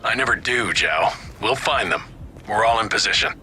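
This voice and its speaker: A man's voice: lowish voice, animated, normal speed, pushed and bright. A man playing a cocky, talkative agent and killer in a game, with a smug, theatrical manner. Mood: confident. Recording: clear background noise.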